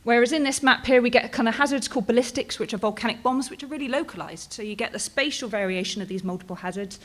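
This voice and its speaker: British woman in her 30s, fairly high voice, relaxed conference presenter, chatty with filler words. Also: quick pace. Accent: British English. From England, Bristol. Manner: casual and chatty, frequent ums and tag questions. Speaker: postdoctoral researcher presenting.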